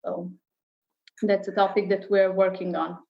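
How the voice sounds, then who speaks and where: A woman answering webinar questions as a festival founder, speaking carefully and pausing often to find her words. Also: medium pitch, little pitch movement, gravelly, dull audio. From Serbia.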